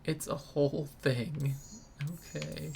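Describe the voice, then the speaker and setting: A woman's voice: low voice, fairly even intonation, takes it slowly, gravelly, a little hiss or hum. A woman doing a let's play, giving casual game commentary and reading dialogue aloud dramatically. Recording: loud game music behind. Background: game music.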